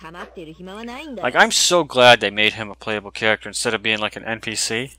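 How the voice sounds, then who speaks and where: A man's voice: mid-range voice, normal speed, bright, forceful tone. A man doing casual gameplay commentary as a streamer, joking and swearing in slangy banter. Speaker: gaming streamer. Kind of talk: casual game playthrough commentary.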